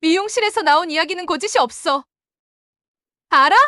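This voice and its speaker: Shrill, indignant middle-aged actress as a scolding sister-in-law. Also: female voice, moderate pace, bright, forceful tone, dry recording, studio quality. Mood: angry, accusing. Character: furious middle-aged woman side-dish seller, vengeful sister-in-law. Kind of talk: melodramatic audio drama acting.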